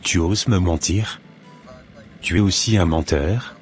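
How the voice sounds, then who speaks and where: A man's voice: low voice, unhurried. A man playing an accusing policeman in an amateur cartoon, blunt and intimidating, with music behind. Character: gruff, accusing male policeman; also easygoing friend. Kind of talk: amateur animated drama acting. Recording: background music.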